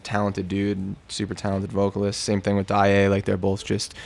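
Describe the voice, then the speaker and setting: Casting: American male musician, casual interviewee, laid-back slangy talk, lowish pitch with heavy vocal fry. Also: completely flat delivery, moderate pace. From New York, United States.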